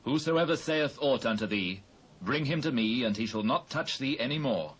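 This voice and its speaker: A British actor playing a grave, fatherly king in a dramatised Bible, measured and authoritative in archaic English. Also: medium pitch, expressive, a bit bright, breathy edge, low-quality recording. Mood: gracious authority.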